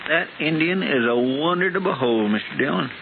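Rustic frontier sidekick, highish gravelly voice, excitable and folksy, noisy old radio western. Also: male voice, brisk, narrow, tinny audio. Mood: marveling. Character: folksy, excitable, indignant male marshal's sidekick. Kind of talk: 1950s radio western acting.

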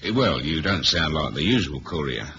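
Casual, wry British secret agent, rock-bottom pitch, dry first-person radio delivery, faint hiss behind. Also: male voice, moderate pace, heavily acted. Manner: deadpan, sardonic, casual. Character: wry, laconic British male spy, womanizing and casual. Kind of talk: 1970s radio drama, first-person spy narration and dialogue. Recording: dull lo-fi old radio recording, hiss.

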